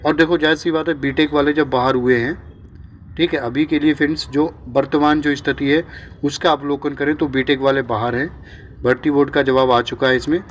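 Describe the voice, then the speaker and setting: A man's voice: fairly high pitch, a little hiss or hum. A man presenting exam updates informally to candidates, repeating himself with check-in tags, mixing in English words and sounding reassuring. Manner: mixes English words into speech.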